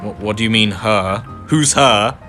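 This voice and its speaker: A man's voice: fairly low pitch, measured pace, pushed and bright, faint music behind. A young man casually commenting on a game as he plays, chatty and rambling with many fillers. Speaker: gaming video host. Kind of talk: casual let's-play commentary.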